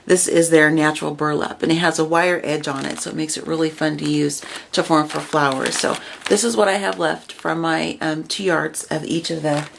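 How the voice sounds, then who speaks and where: A woman's voice: sits low, steady tone, normal speed, creaky, few pauses. A woman with a crackly voice from a starting cold, chatting casually with many ums while showing off a craft project. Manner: chatty, many ums, points out details step by step. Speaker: craft video host. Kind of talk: casual show-and-tell craft video.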